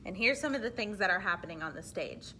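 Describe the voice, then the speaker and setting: A woman reading a picture book aloud like a teacher, deliberate and expressive, pausing for explanatory asides to children. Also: mid-range voice, slight background noise.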